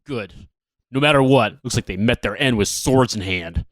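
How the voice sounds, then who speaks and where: A man acts out a gung-ho Marine for a radio comedy. His medium-pitched voice is grave and self-important, full of zeal, recorded without room echo. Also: moderate pace, studio quality, natural voice. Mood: solemn. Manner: grave, bombastic, solemn. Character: zealous, pompous gung-ho male Marine named Todd. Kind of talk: comedic radio-play reading.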